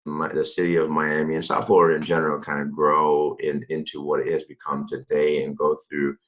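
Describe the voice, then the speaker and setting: A man in his thirties from the Dominican Republic, answering interview questions in a relaxed, rambling way with many fillers and restarts. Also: rumbling low, brisk, highs cut off. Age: 30s. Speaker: interview guest, real estate developer.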